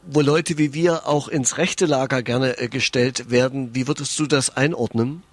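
A man's voice: medium pitch, steady tone, normal speed, dry recording. A man hosting an interview, introducing his guest and putting questions in a conversational style. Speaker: interview host.

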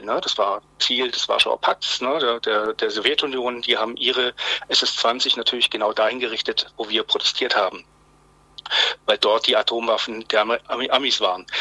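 Bright-voiced man in his fifties on the phone. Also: medium pitch, no room sound, rough recording. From Swabia, Germany. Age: 50s. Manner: hesitant, many fillers and restarts, tag "ne?". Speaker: interview guest, journalist. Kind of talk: phone interview. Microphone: telephone.

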